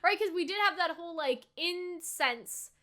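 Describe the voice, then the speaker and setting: A young woman gives a casual, animated reaction and review, rambling and peppering her talk with "like" and "right?". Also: extremely high pitch, moderate pace. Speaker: anime reaction YouTuber.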